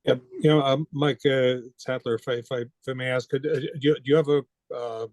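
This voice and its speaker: A man's voice: medium pitch, fairly even intonation, fairly quick, a little mellow, no echo. A Canadian man, new to a car club, asking questions on a conference call with a lot of stammering and repeated words.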